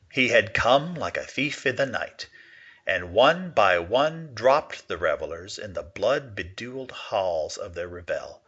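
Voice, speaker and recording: A man giving a dramatic reading of a classic story, with expressive, sweeping storytelling. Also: on the low side, husky. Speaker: reader. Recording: dull lo-fi recording.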